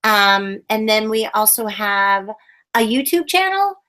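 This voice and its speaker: A woman's voice: mid-range voice, big pitch swings, a little slow, pushed and bright. A woman puppeteer talking in a casual interview, enthusiastic and rambling. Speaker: puppeteer guest.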